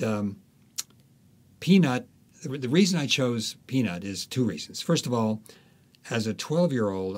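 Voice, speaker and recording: Male author in interview, fairly low voice, conversational and thoughtful, halting delivery. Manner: halting, deliberate, pausing often. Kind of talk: reflective conversational explanation.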